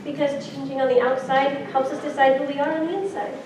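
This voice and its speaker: A woman playing a gentle, reassuring mother of a troubled preteen in a staged musical reading. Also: high-pitched, flat delivery, quick pace, background noise, close to an everyday voice. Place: live staged reading in a hall. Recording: echoey hall recorded from far off.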